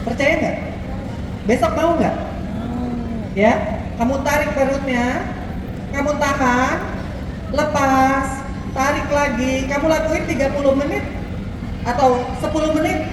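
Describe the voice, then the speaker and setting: A woman's voice: high-pitched, background noise. A woman coaching models on posture and walking, conversational and asking rhetorical questions. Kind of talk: instructive coaching talk. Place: large echoey mall event space. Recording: echoey hall audio from far off.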